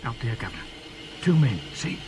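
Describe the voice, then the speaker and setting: A man's voice: mid-range voice, sing-song, brisk. An actor playing a cheeky, nervous Victorian sidekick with a British accent, deflating his grand boss in a radio drama. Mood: wry, uneasy. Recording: faintly noisy 1970s radio broadcast recording.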